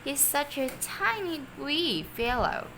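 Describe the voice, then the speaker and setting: A woman voicing a sweet, doting storybook lady in a gushing, drawn-out, emphatic way. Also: sits high, big pitch swings, sharp tone, a little hiss or hum, pitched above the performer's own voice, exaggerated voice. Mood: fond, wistful. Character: sweet, gentle, doting woman who adores her tortoise.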